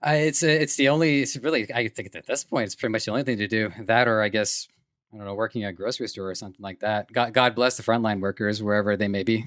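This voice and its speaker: A man hosting a podcast interview, chatty and self-deprecating, often halting with fillers. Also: lowish voice, steady tone, a bit bright, no echo, clean studio sound. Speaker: podcast host. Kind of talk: casual interview chat.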